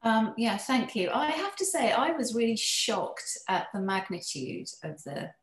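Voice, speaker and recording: A woman's voice: a little high, very animated, echoey room. A woman who grew up in the UK, a designer running a hospitality business, speaking reflectively on an online panel. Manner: reflective, measured, personal. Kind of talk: panel discussion. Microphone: video call.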